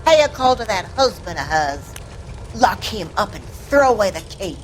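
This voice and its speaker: A woman's voice: medium pitch, sing-song, normal speed, over music, close to an everyday voice, poor audio. An actress playing a defensive landlady who answers a detective evasively and indignantly. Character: defensive landlady protecting her reputation.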